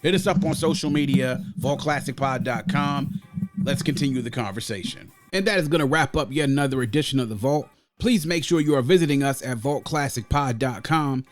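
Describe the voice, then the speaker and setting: A man with a medium-pitched voice hosts a music discussion podcast. He talks conversationally but emphatically, often restating a phrase to drive his opinion home. Music plays faintly behind him. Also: a little fast. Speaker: podcast host. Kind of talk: conversational music review with a scripted sign-off.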